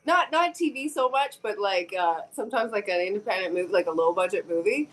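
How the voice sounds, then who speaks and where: A woman's voice: medium pitch, lively intonation, fairly quick, a little hiss or hum, rough recording. Woman from canada, middle-aged, casual guest chat, laughs easily, frank, over a remote call. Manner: easygoing, laughs often, frank. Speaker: actress guest.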